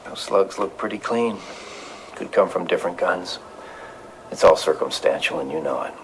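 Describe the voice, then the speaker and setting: A man's voice: low-pitched, lively intonation, normal speed, slight background noise, poor audio. An actor playing a defiant middle-aged father under suspicion of murder in an audio drama, curt and guarded. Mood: defiant, dismissive.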